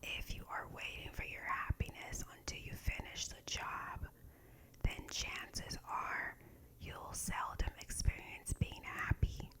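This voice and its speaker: A woman whispers her way through a guided meditation reading in ASMR style. Her voice is breathy and fully unvoiced. She phrases softly and deliberately, at a very unhurried pace. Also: poor audio. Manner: soft, slow, deliberate phrasing. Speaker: ASMR host reading aloud from a meditation book.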